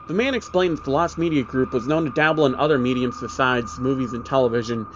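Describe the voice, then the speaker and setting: Guy narrating a creepy story, medium pitch, dull lo-fi sound with a bit of noise behind. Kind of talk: scripted horror story narration. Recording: dull lo-fi audio, faint background noise.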